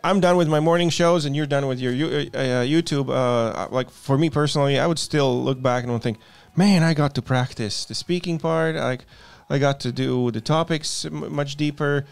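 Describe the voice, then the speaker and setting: A man's voice: mid-range voice, moderate pace, creaky, hardly any pauses, clear, resonant tone. A man with a Latvian accent hosting a morning show, chatting casually in English with many fillers and frequent laughs. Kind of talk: casual chat.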